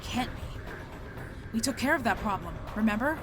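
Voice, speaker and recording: A woman's voice: fairly high pitch, animated, moderate pace, lightly acted. An actress playing a battle-hardened woman general in an audio drama, intense and clipped, over music. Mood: dismissive. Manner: intense, clipped, swears when angry. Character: battle-hardened female general, fiercely protective.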